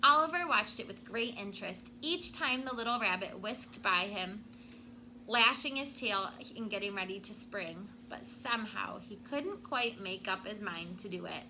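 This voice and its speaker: A woman's voice: medium pitch, normal speed, noisy background. Woman teacher reading a story aloud. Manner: expressive storytelling, stops to explain words. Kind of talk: reading a picture book aloud to a class. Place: classroom.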